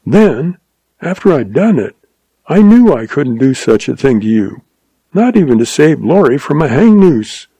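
A man's voice: fairly high pitch, sing-song, unhurried, dark tone, dry recording, voice pushed up, full character voice, poor audio. A man voicing a shaken young woman in a western story, speaking with a catch in her voice. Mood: pleading. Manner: breathy, catching voice.

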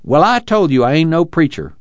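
Guy doing an earnest old-time country ex-preacher, solemn and folksy, pitched up, audiobook. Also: big pitch swings, brisk, dry recording, muffled recording. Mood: firm. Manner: solemn, emphatic folksy speech. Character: earnest, brooding former preacher, rural man, compassionate.

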